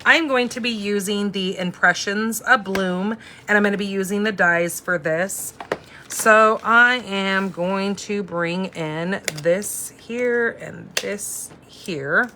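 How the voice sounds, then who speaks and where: A woman in her forties hosting a casual live craft demo, chatty and thinking out loud as she works. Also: mid-range voice, slow pace, slight background noise. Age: 40s. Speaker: crafting host and instructor.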